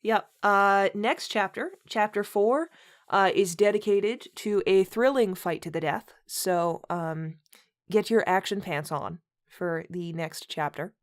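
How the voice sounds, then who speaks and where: A woman with an unhurried pace and a middle-pitched voice hosts a comedic read-aloud. She slips between reading and casual chat, and giggles her way through her sentences. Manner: giggly, breaks into laughter while talking. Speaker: host and reader.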